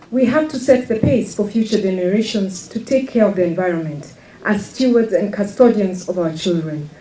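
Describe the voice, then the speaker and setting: A woman's voice: mid-range voice, dark tone. A woman giving a formal prepared speech at a ceremony. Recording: echoey public address heard from far off.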